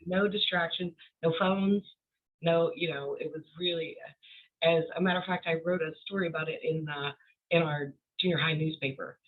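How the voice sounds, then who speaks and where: A woman's voice: sits low, steady tone, moderate pace. A middle-aged woman telling an anecdote casually as a guest. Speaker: guest author. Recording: thin phone-like call audio.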